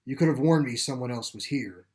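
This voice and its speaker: A man's voice: mid-range voice, fairly quick, darkish tone, natural voice. A man voicing a guarded, world-weary fifteen-year-old boy in a short story, terse and wary. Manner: wary, terse, matter-of-fact.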